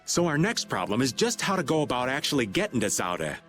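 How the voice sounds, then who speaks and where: Easygoing rogue, medium pitch, very lively intonation, joking, video game character. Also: male voice, quick pace, faint music behind. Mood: breezy.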